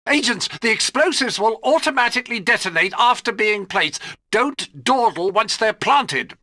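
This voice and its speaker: A man playing a dry, fussy spy gadget master, giving precise, brisk instructions in a video game. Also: high-pitched, lively intonation, bright, forceful tone, no room sound, full character voice. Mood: brisk, instructive.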